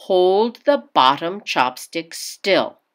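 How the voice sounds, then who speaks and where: A courteous, helpful restaurant waiter read by a woman from a storybook. The words come very slowly and with measured emphasis, as if teaching. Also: fairly low pitch, sing-song, exaggerated voice. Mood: polite, instructing. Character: polite, helpful Japanese restaurant waiter, male.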